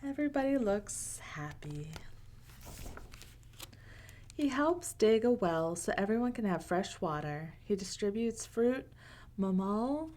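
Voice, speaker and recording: A woman reads a children's picture book aloud in a gentle storyteller's manner. Her pace is very slow, with pauses between short phrases, and her pitch sits in a middle range for a woman. She mixes plain narration with casual asides.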